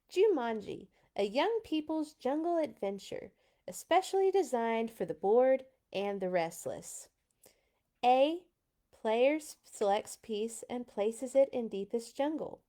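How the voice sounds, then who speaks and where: A woman's voice: a little high, sing-song, takes it slowly, mellow, exaggerated voice. A woman voicing a bossy, eager older sister, a young girl who gives emphatic instructions in a storybook read-aloud.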